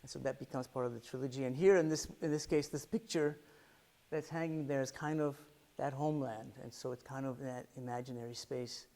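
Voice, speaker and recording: Man giving a halting artist talk. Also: medium pitch, steady tone, dark tone. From United States. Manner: thoughtful, searching for words, many restarts and fillers. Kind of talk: artist talk presenting photographs. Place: library art center talk.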